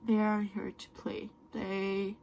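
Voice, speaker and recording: Slow, gravelly-voiced woman. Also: medium pitch, little pitch movement, slight background noise. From Norway. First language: Norwegian. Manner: soft, often trailing into a whisper. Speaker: beauty vlogger. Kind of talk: makeup tutorial chat.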